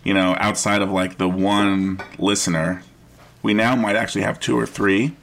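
Man, gruff voice, lowish pitch, stammering, casual podcast co-host. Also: level tone, moderate pace, a bit bright, a little hiss or hum. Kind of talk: casual chat.

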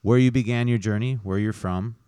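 Chatty male podcast host from Missouri, low smooth voice. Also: steady tone, a little fast, warm tone, no room sound. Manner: upbeat, chatty, lots of "right, right". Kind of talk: casual podcast hosting. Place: barbershop.

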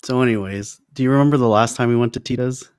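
A man's voice: mid-range voice, quick pace, darkish tone, clear, resonant tone, dry recording, studio quality. A man chatting casually in a teasing, joking manner. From Filipino background.

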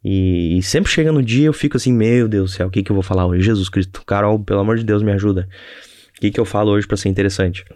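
A man's voice: lowish voice, fast talker, darkish tone, no room sound, clean studio sound. A young man with a Rio Grande do Sul accent, hosting a rambling, casual solo podcast with many restarts and tag questions. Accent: Rio Grande do Sul Portuguese. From southern Brazil. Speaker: podcast host.

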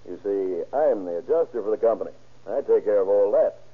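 An actor playing a smug, scheming insurance swindler in an old radio crime drama. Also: male voice, lowish voice, lively intonation, a little fast. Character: scheming, self-assured male insurance swindler, crooked adjuster. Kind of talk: 1930s-40s radio crime drama acting. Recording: muffled, scratchy old-time radio recording.

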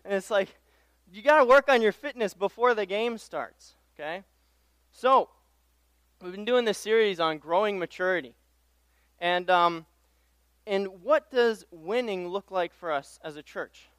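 A young man speaks from the pulpit in a high-pitched, smooth voice, recorded close and dry. His sermon is conversational and self-deprecating, built on personal anecdotes, and he often circles back over his own phrases. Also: animated, unhurried, fairly bright tone, dry recording. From Tanzania. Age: young adult. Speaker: pastoral intern preaching as guest speaker. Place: church pulpit.

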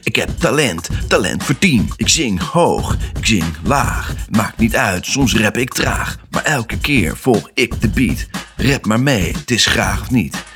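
A man's voice: lowish voice, very animated, slow, full character voice. Give me a man playing an upbeat rapping parrot in a children's audio drama. He is eager and encouraging, speaks with bouncy rhythm, and has light music behind him. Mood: playful, proud.

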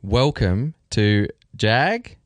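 A man's voice: on the low side, very animated, drawn-out words, dry recording. A man hosting a conversational podcast interview, talking in an enthusiastic, chummy way. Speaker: podcast host.

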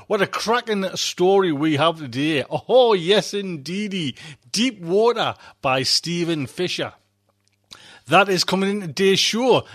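A man's voice: high voice, a bit bright. A man hosting a podcast, introducing the show in a chatty, exuberant and emphatic way. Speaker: podcast host.

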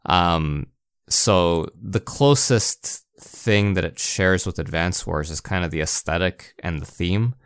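A man's voice: low-pitched, slow, smooth, no room sound. A man co-hosting a podcast, giving his opinions on video games in casual conversation. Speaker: podcast co-host. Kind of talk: casual video game discussion.

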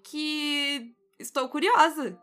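A woman's voice: sits high, very slow, a bit bright, faint music behind. A woman co-hosting a podcast in casual chat, wry and analytical about the show's numbers. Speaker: podcast co-host.

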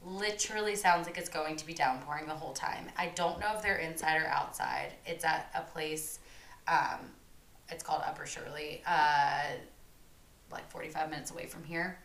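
A woman's voice: low voice, fairly even intonation, normal speed, fairly bright tone. A young woman, co-hosting a podcast in casual chat and saying "like" often. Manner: chatty, uses "like" often. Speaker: podcast co-host. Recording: echoey room.

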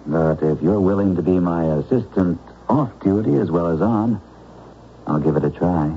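An earnest young surgeon in a vintage radio play, reserved and devoted to work. His lowish voice comes through a muffled broadcast. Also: moderate pace, over loud music. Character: young male surgeon, earnest and shy of fun. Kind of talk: 1970s radio drama acting.

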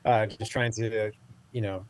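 A man's voice: fairly low pitch, steady tone, measured pace, low-quality recording. A man in his forties, a podcast guest, talking casually with many fillers and restarts. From Southeast Asia. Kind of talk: casual interview talk.

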